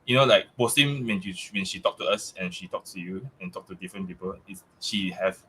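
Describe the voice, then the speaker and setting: Malaysian guy on a podcast, english not his first language, stumbles and restarts a lot, kinda low but bright voice. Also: husky, rough recording. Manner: halting, many restarts and fillers. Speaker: guest, tech staff member. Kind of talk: casual podcast chat.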